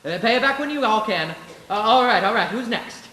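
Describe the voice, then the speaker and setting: A man playing an earnest, excitable young banker with a stammering, folksy manner in a live radio play. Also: high-pitched, a little fast, faint music behind. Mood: relieved, kindly. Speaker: stage actor. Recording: echoey stage with faint noise.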